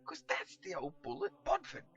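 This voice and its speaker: A man's voice: on the high side, huge pitch swings, moderate pace, a bit bright, dull audio. A man voicing an impatient, bossy young boy who talks in a colloquial, exasperated way, in a storybook reading. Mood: cross, exasperated.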